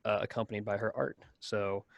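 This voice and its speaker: Man, creaky voice, self-effacing manner, informal talk, dry recording. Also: lowish voice, little pitch movement, a little fast, no room sound. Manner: self-deprecating. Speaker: show's music composer. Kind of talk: casual chat.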